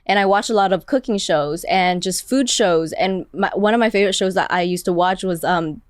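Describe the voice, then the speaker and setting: Chatty Vietnamese-born woman chef, smooth voice. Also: on the low side, a little fast, dry recording, clean studio sound. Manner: chatty, many "like" fillers. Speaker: chef guest. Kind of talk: casual interview chat.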